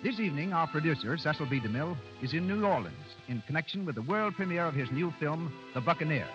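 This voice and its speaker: A man's voice: a little high, lively intonation, quick pace. 1930s radio announcer man over music. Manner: formal, grandly presentational. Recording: dull 1930s radio broadcast recording.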